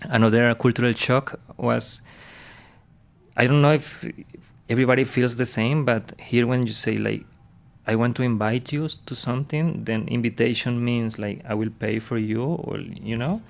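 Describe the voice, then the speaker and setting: A man, a university professor chatting casually in English with many pauses and fillers. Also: medium pitch, fairly even intonation, vocal fry. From Colombia. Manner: hesitant, many fillers and restarts.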